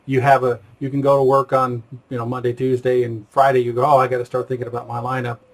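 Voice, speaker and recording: A man's voice: medium pitch, little pitch movement, fast. An American man hosting a casual interview, talking in a tentative, digressive way. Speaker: interview host.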